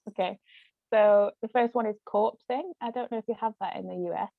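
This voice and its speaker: A woman's voice: on the high side, fairly even intonation, a little fast, vocal fry. A woman chatting in a rambling way as a guest in a casual discussion. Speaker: guest author and actress. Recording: muffled lo-fi phone-like audio, roomy echo.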